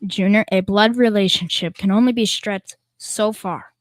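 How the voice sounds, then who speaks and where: A woman's voice: mid-range voice, fairly even intonation, normal speed, no echo, clean studio sound, natural voice. A young female performer playing a blustering, cowardly middle-aged father in old-time radio comedy style, folksy, wisecracking and ungrammatical. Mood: stubborn bluster. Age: teenager.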